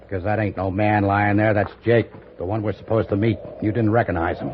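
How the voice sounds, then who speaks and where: Low-pitched, folksy, sly western outlaw played by a man. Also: flat delivery, fairly quick, natural voice. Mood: menacing. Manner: drawling, folksy rustic speech. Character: sly, hard-drinking, treacherous western outlaw. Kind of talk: old-time radio western acting. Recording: muffled old radio recording, background crowd noise.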